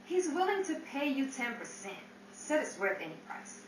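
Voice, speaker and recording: A woman's voice: high-pitched, very animated, moderate pace. A woman playing a wisecracking, slangy 1930s nightclub go-between in a theatrical old-time radio style. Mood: haggling. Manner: theatrical, jive-slang patter. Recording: roomy, distant mic, hissy background.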